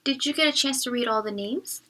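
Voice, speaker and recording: Lady playing a male teacher in a kids story, encouraging, a bit concerned, bright tone. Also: fairly high pitch, fairly quick.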